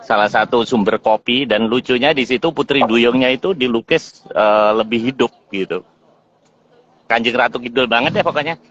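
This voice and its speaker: A man telling an anecdote in an easygoing way as a guest on a video call. His voice sits at an ordinary pitch for a man. The call audio is dull and lo-fi. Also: normal speed. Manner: relaxed, easygoing storytelling. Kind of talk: casual video call chat.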